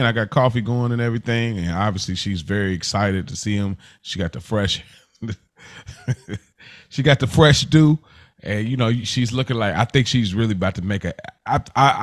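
A man with an American accent and a fairly deep voice leads a casual recap discussion. His phrasing is informal, and he often puts questions to the others at the table. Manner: informal, poses questions to co-hosts. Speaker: podcast host.

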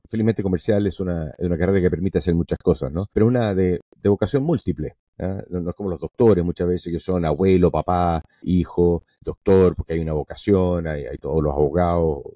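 A man, a podcast guest telling his story in an emphatic, animated way. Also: deep voice, no echo. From Venezuela. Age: 50s. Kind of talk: casual interview talk.

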